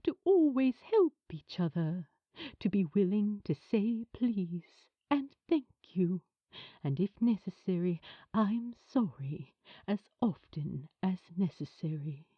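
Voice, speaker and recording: Woman voicing a husky, gentle male children's TV host. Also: mid-range voice, lively intonation, no room sound, lo-fi sound, pitched below the performer's own voice. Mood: tender, emotional. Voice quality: husky, breathy. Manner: slow, tender, earnest. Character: gentle, kind male children's TV host saying a tearful farewell. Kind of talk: audiobook character acting.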